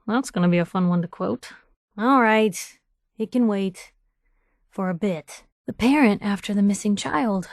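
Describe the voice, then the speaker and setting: A woman's voice: a little high, no room sound, clean studio sound, lightly acted. A woman playing a brash, nosy young American reporter in a video game, wry and idealistic, with a sardonic, punchy, conversational delivery. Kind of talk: video game companion dialogue.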